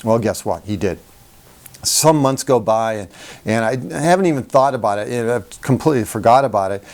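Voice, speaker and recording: Male, middle-aged, medium pitch. Coach-style presenter, conversational and emphatic, tells anecdotes at his own expense. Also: animated, a little fast, a little mellow. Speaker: sales communication coach hosting a how-to video. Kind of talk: conversational how-to talk with personal anecdotes.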